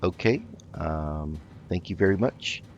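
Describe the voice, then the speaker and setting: A man improvising in character while playing a video game, speaking politely and haltingly. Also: low-pitched, lively intonation, darkish tone. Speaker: let's play host. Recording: dull lo-fi mic with noticeable noise.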